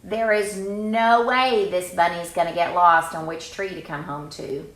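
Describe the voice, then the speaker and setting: Expressive lady reading picture book to kids, slow n swoopy, roomy echo. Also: lowish voice. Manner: expressive, exclaiming, conversational. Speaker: children's storyteller and drama teacher. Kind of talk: reading a picture book aloud with chatty asides to children. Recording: roomy, echoey sound.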